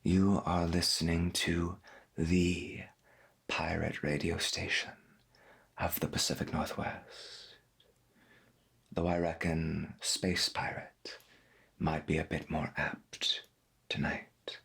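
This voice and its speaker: A man playing a folksy, gentle veteran pirate radio host, speaking softly and slowly with a breathy voice in an ASMR roleplay. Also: deep voice, flat delivery, exaggerated voice. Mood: calm, intimate. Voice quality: breathy, husky. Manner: slow, deliberate, folksy, half-whispered. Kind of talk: soft-spoken ASMR roleplay as 1990s AM radio.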